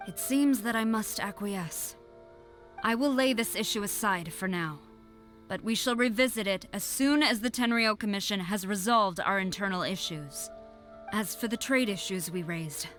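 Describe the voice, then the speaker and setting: A strict general, voiced by a woman, with a mid-range voice and a formal, commanding delivery that leaves no room for argument. Quiet music sits in the background. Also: mid-range voice, lightly acted. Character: stern military general, proud and disciplined. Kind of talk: game voice acting.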